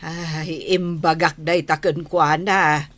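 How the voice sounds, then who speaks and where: A woman's voice: deep voice, fairly even intonation, slow, fairly bright tone, dry recording. An Ilocano Filipino woman playing a resigned, placating middle-aged mother in a radio drama.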